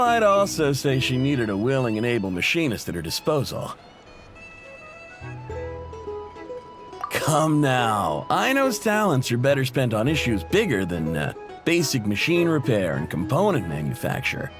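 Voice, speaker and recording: Casting: easygoing game machinist, played by a man, mid-pitched, lively and expressive, modest and chatty, music underneath. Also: normal speed, close to an everyday voice. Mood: grateful, amiable. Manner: affable, conversational, self-deprecating. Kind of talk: video game character acting. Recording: music behind the voice.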